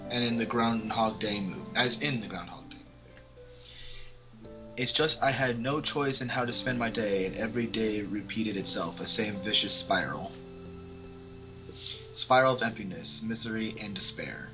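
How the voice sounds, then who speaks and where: A man's voice: on the low side, moderate pace, poor audio. Man reading aloud over prominent music. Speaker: amateur let's-player. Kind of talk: casual read-aloud of visual novel narration. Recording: music behind the voice. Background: game music.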